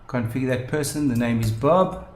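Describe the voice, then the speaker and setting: A man narrating a step-by-step software demonstration in measured, explanatory phrases. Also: medium pitch. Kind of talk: step-by-step instructional narration of a screen demo. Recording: roomy, echoing voice-over.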